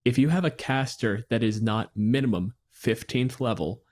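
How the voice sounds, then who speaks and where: A man co-hosting a casual podcast chat, reading out game rules in a measured, explanatory way. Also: mid-range voice, darkish tone. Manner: reads stat blocks in a measured, explanatory way. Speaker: podcast co-host.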